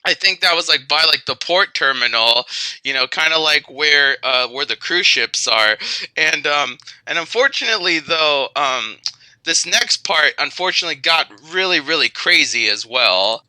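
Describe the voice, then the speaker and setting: A man with a fairly high, strikingly bright and forceful voice spins an improvised tale. He rambles and repeats himself in an excitable way. The sound is dry and close. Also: moderate pace, no room sound, rough recording. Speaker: storyteller. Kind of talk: improvised spoken storytelling in character as a magical bear.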